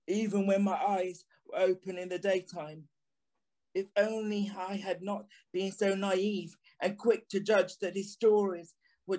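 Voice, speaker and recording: A man's voice: high-pitched, darkish tone, poor audio. A man playing a haunted, remorseful journalist, narrating an audio play with brooding, theatrical phrasing. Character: haunted, remorseful journalist recalling an eerie encounter. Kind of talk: dramatic first-person audio play narration.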